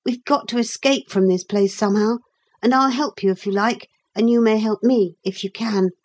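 A woman voicing a proud, impatient young boy in a storybook, speaking in curt, brisk, proper schoolboy phrases. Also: mid-range voice, steady tone, voice pushed up, lightly acted. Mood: grudging, brisk.

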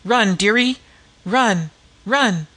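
A man's voice: high voice, extremely slow, sharp tone, pitched above the performer's own voice, full character voice. A man putting on a screeching slum hag. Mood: frantic. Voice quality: screeching, cackling. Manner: caustic, coarse street talk. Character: woman disguised as a shrill slum hag.

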